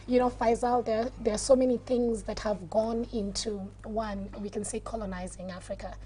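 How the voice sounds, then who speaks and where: A woman's voice: a little high, fairly even intonation, moderate pace, dark tone, a little hiss or hum, rough recording. A Kenyan woman with a Kenyan accent, a guest on a studio talk show, explaining earnestly and laughing easily. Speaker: guest, activist.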